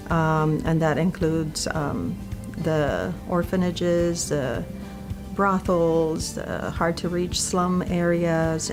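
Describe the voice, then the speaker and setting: A middle-aged woman from Puerto Rico, a physician, talking about her career in a thoughtful, measured way with small pauses. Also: lowish voice. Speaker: infectious disease physician. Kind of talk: profile interview monologue. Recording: clear music bed under the voice.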